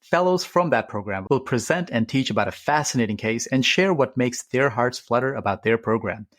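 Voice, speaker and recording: A man's voice: mid-range voice, fairly quick, a bit bright. A man hosting a podcast, speaking in an upbeat, enthusiastic way as he introduces the show and guests. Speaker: podcast host.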